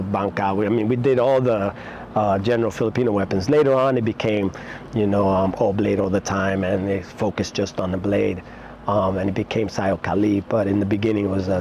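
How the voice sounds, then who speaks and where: Low-voiced middle-aged New man, halting. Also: darkish tone, a little hiss or hum. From Puerto Rico-born, raised in New York City. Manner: halting, conversational, with many pauses. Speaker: martial arts instructor, interview guest. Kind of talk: casual interview recollection.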